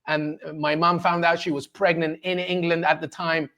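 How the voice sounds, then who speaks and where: A man in his forties from Peru, speaking as an interview guest. His voice sits a touch higher than most men's. He tells his story in a lively, enthusiastic way and laughs easily. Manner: animated and enthusiastic, quick to laugh. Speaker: interview guest, sports journalist. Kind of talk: casual storytelling in a conversation.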